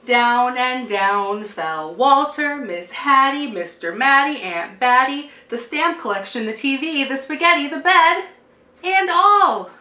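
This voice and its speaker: A woman narrates a picture book for children with expressive, deliberate emphasis. Her voice is fairly high and very bright. The recording is roomy, with the microphone some way off. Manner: deliberate emphasis and dramatic sing-song lists. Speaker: teacher reading aloud. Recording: roomy, distant microphone, faint noise.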